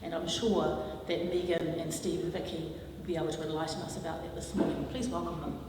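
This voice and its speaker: A woman with a New Zealand accent introducing conference speakers in a measured, slightly halting way. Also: sits low, little pitch movement, heavy background noise. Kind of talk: formal introduction. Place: large hall. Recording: echoey hall from far off.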